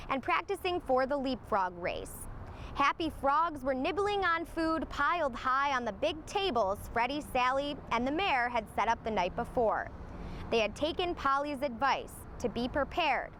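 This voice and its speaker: A woman reading a children's story aloud as scripted narration, in an expressive manner. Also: high-pitched, slight background noise. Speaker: storybook reader, meteorologist.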